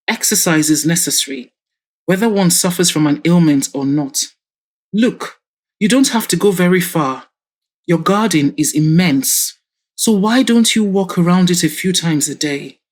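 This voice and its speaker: A woman voicing a patient grown daughter who reasons calmly and firmly with her father. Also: fairly low pitch. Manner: calm, coaxing, reasoning. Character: grown daughter, patient and firm, fashion designer.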